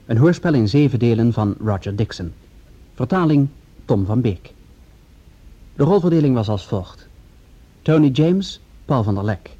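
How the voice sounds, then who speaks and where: Male radio drama announcer, slow, mellow, husky, formal, scripted credits delivery, dull old recording. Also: mid-range voice, expressive. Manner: measured, formal.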